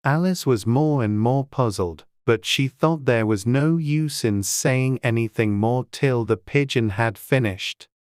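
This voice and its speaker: An audiobook narrator reading a classic children's story in a measured, gentle way. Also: mid-range voice, no echo, studio quality.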